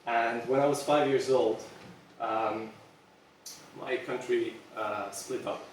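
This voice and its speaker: A man in his thirties from Serbia presenting to a crowd, stopping and restarting often with ums. His voice sits at an ordinary pitch and reaches the mic from a distance. The room around him is very echoey, with faint noise underneath. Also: steady tone. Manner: halting, many ums and restarts. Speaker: speaker presenting his company. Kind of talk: presentation talk. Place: event space with an audience.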